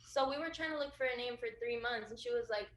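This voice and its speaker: A woman singer from Florida telling an animated story in an interview, acting out what others said. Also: a little high, fast talker, roomy sound. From USA, Florida. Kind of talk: casual interview.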